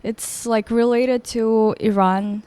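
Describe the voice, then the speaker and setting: Young woman, guest on a podcast, kinda nervous, soft, slightly high voice. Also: steady tone, slow pace, smooth, no echo. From Russia. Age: 20s. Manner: soft-spoken, a little nervous, hesitant. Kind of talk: interview conversation.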